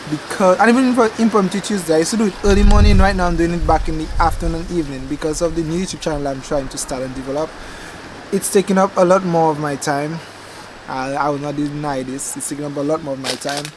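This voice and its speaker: Young man, rambling, self-correcting vlog chat, slightly raised pitch, faint yard ambience behind. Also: noisy background. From Dominica. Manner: relaxed and chatty, self-correcting, laughs at his slips. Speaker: gardening channel host. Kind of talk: casual rambling chat to camera. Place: outdoors in a backyard garden. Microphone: camcorder microphone.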